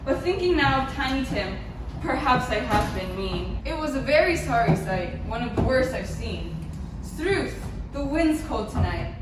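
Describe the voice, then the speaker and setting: A woman's voice: a little high, big pitch swings, a little slow, heavy background noise. A woman playing an old miser in a stage pantomime, declaiming theatrically in rhyming verse. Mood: uneasy, reflective. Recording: very echoey stage from far off, music.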